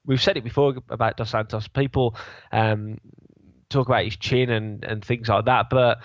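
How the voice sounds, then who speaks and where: Flat-voiced man with a sore throat. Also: medium pitch, moderate pace, no room sound, dull audio. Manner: rambling, many fillers and restarts. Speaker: podcast co-host, fight analyst. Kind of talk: casual chat.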